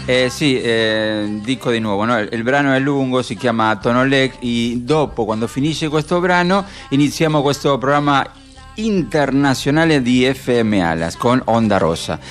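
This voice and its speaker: A man's voice: mid-range voice, moderate pace. A man from Argentina with an Argentine Spanish accent, hosting radio informally and searching for his words as he introduces a programme. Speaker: radio host. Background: music playing under the voice.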